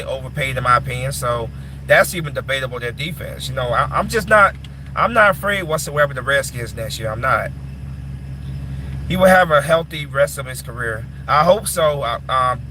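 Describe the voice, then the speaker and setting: A man's voice: fairly high pitch, sharp tone. Chatty man in his twenties, noisy background. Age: 20s. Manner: repeats and restarts words, calls listeners "man". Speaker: live stream host answering chat. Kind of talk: casual chat.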